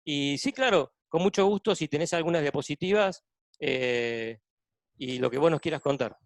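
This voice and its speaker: A man's voice: fairly high pitch, moderate pace, gravelly, no echo, clean studio sound. A man with a Buenos Aires Argentine accent, hosting a medical webinar and introducing guests on a video call. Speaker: webinar host, cardiologist. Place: hospital intensive care unit.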